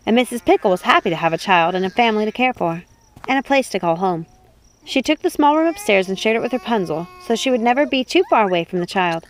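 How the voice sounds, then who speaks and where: A woman reading scripted storybook narration as an audiobook narrator. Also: medium pitch.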